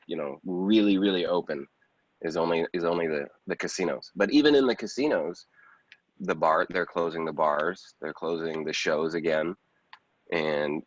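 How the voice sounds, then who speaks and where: A man chatting casually as a podcast guest, halting and repeating words, on slightly dull audio. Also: low voice, no room sound. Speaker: podcast guest, actor and writer. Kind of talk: casual chat.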